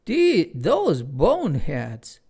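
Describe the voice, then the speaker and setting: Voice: mid-range voice, huge pitch swings, extremely slow, vocal fry, dark tone, breathy edge, heavily acted. A storyteller voicing a bold, feisty young girl.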